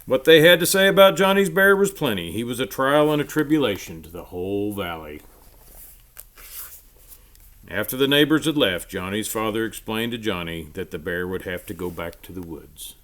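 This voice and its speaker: A man reading a children's storybook aloud in an expressive, emphatic storytelling manner. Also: lowish voice, moderate pace.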